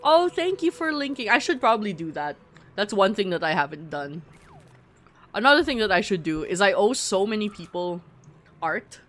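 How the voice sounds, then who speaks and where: A woman's voice: medium pitch, sing-song, moderate pace, fairly bright tone. Chatty woman streamer with Filipino English accent. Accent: middle-class Filipino English. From Philippines. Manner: talkative, self-interrupting, playful. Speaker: live game streamer. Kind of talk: casual chat to viewers while gaming. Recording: music playing behind the voice. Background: game music.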